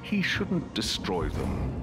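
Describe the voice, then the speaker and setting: A man's voice: low voice, wildly swooping pitch, takes it slowly, exaggerated voice. An actor playing a pompous, theatrical male conqueror villain in a video game, with a grandiose, gloating delivery. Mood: scornful, amused. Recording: voice under loud game music.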